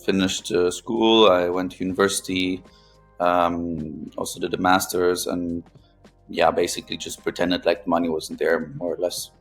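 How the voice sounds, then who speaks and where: Low monotone guy, podcast guest talking haltingly, pauses a lot. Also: soft background music. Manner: hesitant, pausing often between phrases. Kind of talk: interview conversation, recounting own experience.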